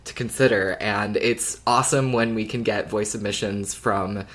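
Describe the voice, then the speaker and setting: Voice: mid-range voice, steady tone, moderate pace, a bit bright. A podcast co-host talking casually and conversationally.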